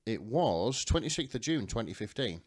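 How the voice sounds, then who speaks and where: A man's voice: lowish voice, big pitch swings, normal speed, husky. A man co-hosting a podcast, chatting casually with dry, wry humour. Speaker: podcast co-host. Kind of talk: casual chat. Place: indoors, three people in one room.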